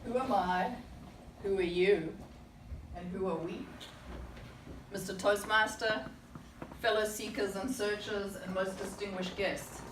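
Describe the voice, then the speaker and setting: A woman's voice: medium pitch, fairly even intonation, a little slow, long pauses. A woman in her forties from South Africa gives a prepared, reflective speech full of rhetorical questions to a club audience. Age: 40s. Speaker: club speaker. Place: meeting room with audience. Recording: echoey room, distant mic, background noise.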